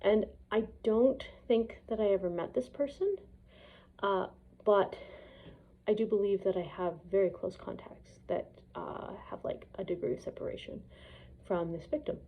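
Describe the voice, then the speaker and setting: A woman's voice: mid-range voice, moderate pace. A Canadian woman vlogger talking in a casual, rambling personal monologue, blunt and opinionated, recorded on a phone. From Edmonton, Canada. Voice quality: husky, creaky voice. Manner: blunt, opinionated, hesitant phrasing with frequent swearing. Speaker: vlogger, piano student. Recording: dull phone-recorded lo-fi sound.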